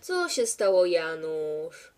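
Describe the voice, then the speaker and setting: A woman's voice: lowish voice, big pitch swings, slow pace, a little mellow. A woman playing a wry, skeptical narrator in a scripted comedy, questioning the hero in a dry, teasing way.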